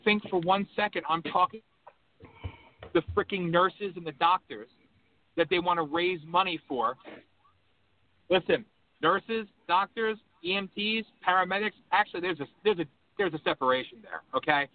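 A man's voice: high voice, normal speed. A man hosting a call-in radio show, talking in an impassioned, rambling, emphatic way. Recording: tinny phone-line audio with faint background hum.

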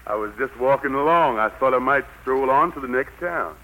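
A man playing the Lord as a gentle but stern, folksy preacher-like figure with a Southern US accent, in 1940s radio drama style. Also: mid-range voice, a little fast, natural voice, low-quality recording. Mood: courteous. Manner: folksy, admonishing. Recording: vintage 1940s radio broadcast.

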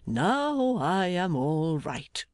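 A man voicing a jolly, blustering older English gentleman in an audiobook, fatherly, emphatic and effusive. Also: sits high, sing-song, drawn-out words, no room sound, full character voice. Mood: hearty, excited. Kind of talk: dramatised audiobook character.

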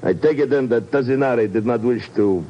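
An actor playing a menacing, amused Russian spy in a 1950s radio drama, with a Russian accent and a mocking, deliberate delivery. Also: male voice, medium pitch, close to an everyday voice. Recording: muffled old 1950s radio recording, faint noise.

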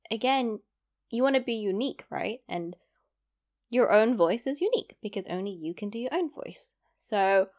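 A young woman from East London with a London accent, talking casually to the camera, self-deprecating and rambling with asides. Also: medium pitch. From East London, England. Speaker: vlogger.

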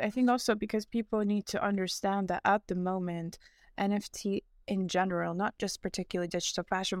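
A woman's voice: medium pitch, no room sound, studio quality. A young adult woman answering interview questions in a casual, earnest way, with frequent fillers and restarts. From United States. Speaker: interview guest, fashion founder.

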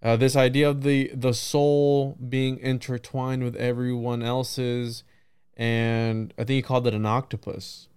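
A man with a very clear, smooth voice hosts a relaxed podcast conversation. He speaks informally and rambles a little, working out his thoughts as he goes. His pitch sits in an ordinary middle range for a man. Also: a little slow. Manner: informal, rambling, thinks aloud. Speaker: podcast host. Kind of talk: casual conversational interview.

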